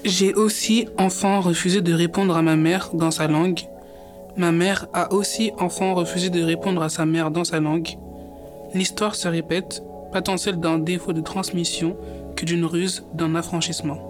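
A man's voice: high-pitched, fairly even intonation, moderate pace, over music. A man giving a scripted reading of a literary text.

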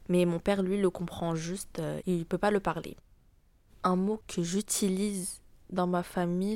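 A teenage girl from France with a French accent, answering interview questions casually and pausing often. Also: on the low side, a little mellow, dry recording. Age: teens. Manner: hesitant, many pauses with "euh".

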